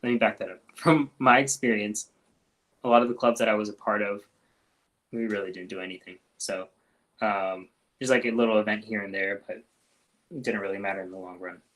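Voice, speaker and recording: A man hosting a casual live-stream Q&A as a doctor, talking loosely with lots of ums and restarts and letting his sentences trail off quietly. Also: lowish voice, brisk, long pauses, low-quality recording. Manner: relaxed, frequent ums and restarts, trails off softly.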